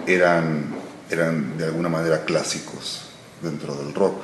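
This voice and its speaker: A man in his sixties, born in Argentina, answering interview questions slowly and thoughtfully, often pausing and trailing off. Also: very deep voice, level tone. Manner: slow, thoughtful, trailing off with many pauses. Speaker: musician guest. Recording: echoey room with noise.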